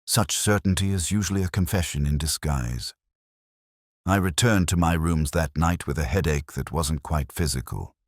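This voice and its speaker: A man reading a scripted first-person literary detective story in a measured, melancholy, reflective manner. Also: a bass voice, no room sound, clean studio sound.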